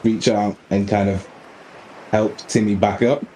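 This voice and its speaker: A man's voice: fairly low pitch, flat delivery, unhurried, mellow, slight background noise, rough recording. A man playing a tabletop game, casually describing what his character does.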